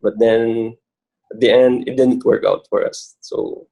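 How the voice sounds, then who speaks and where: A man in his late twenties from the Philippines chatting as a guest, shy and halting. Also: mid-range voice, fairly even intonation, vocal fry, mellow, poor audio. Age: 20s. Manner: shy, halting, laughs often. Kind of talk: casual chat.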